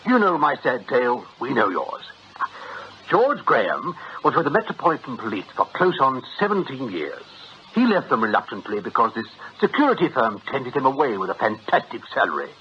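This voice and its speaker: An actor playing a jovial, wily British swindlers' ringleader in a radio drama, with an ironic, chuckling, avuncular way of talking. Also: male voice, medium pitch, very animated, brisk, natural voice. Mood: genial, persuasive. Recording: dull radio recording, faint noise.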